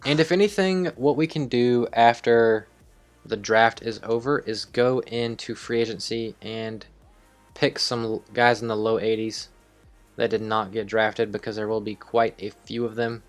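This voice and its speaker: A man with a fairly low voice hosts a video game series over background music. He talks casually and off the cuff, rambling as he thinks his choices through aloud and swearing offhand. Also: fairly even intonation, measured pace. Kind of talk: casual improvised gameplay commentary.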